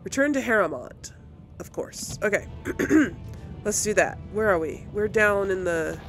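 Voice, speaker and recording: A woman's voice: medium pitch, lively intonation, unhurried, soft background music. A woman hosting a let's play, chatting casually and thinking aloud as she comments on her game.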